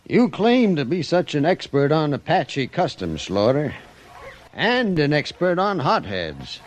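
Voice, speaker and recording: A man's voice: fairly high pitch, big pitch swings, measured pace. A man playing a proud, blunt, hot-headed westerner in a 1950s radio drama. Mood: wry. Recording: old 1950s radio broadcast recording.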